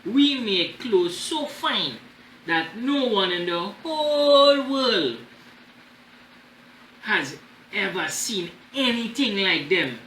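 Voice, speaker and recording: A man's voice: high-pitched, very animated, slow, sharp tone, roomy sound, a little hiss or hum, full character voice, poor audio. A man voicing a boastful storybook tailor, persuasive and emphatic as he sells his work. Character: boastful smooth-talking male swindler tailor of a storybook.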